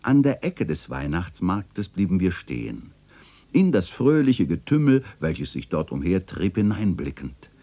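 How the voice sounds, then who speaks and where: A man acting a genial, bookish bachelor in a literary radio play, speaking in a courtly, formal and expressive way. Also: sits low, a little slow, exaggerated voice. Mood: wistful, observant. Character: genial bachelor neighbour, bookish storyteller of old Germany.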